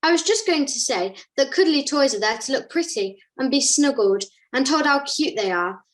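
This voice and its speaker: A woman's voice: sits high, animated, normal speed, low-quality recording. A woman playing an impatient, snobbish cuddly toy in a scripted puppet comedy, speaking forthrightly. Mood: self-satisfied.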